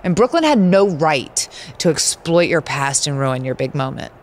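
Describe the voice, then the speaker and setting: An actor playing a concerned, caring friend in a video game cutscene, speaking gently and carefully. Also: medium pitch, big pitch swings, normal speed, slight background noise, close to an everyday voice. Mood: worried, supportive.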